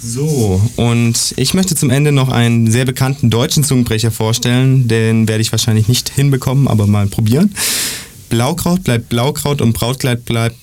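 A man's voice: medium pitch, moderate pace, a little mellow. A man taking part in a radio show, talking casually.

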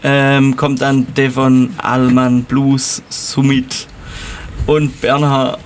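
A man's voice: medium pitch, monotone, very slow, slight background noise. Hesitant man reading a program. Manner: hesitant, many fillers, stumbles over names. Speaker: festival organizer guest.

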